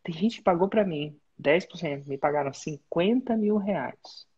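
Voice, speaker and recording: A husky-voiced man leads a coaching call in a direct, instructive manner, breaking his advice into clear points. His voice sits at a middle pitch, and the recording sounds dull and a bit lo-fi. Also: lively intonation, a little slow, darkish tone. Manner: direct, instructive, uses sports-belt metaphors. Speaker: business mentor and host. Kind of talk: live coaching session.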